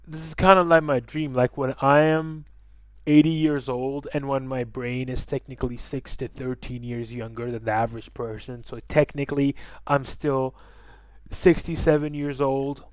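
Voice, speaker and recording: Young guy doing casual reaction commentary, super slangy, clear smooth voice, kinda high, no room echo. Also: fairly even intonation, moderate pace. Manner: slangy, informal. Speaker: reaction video host.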